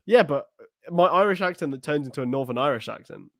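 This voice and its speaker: A man's voice: a little high, lively intonation, quick pace, no room sound, studio quality. A young English man, a student, co-hosting a casual football podcast, laughing easily and arguing back playfully. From England. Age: young adult. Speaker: podcast co-host. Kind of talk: casual banter and football chat.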